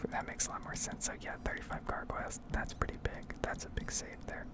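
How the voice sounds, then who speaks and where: Woman, soft breathy whisper, gaming ASMR, meandering commentary, unhurried and musing. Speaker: gaming ASMR video creator.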